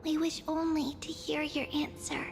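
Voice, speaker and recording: A woman's voice: high voice, flat delivery, moderate pace, gravelly, over music. A woman voicing a small, solemn, kindly oracle in a video game, speaking in a ceremonial, encouraging way.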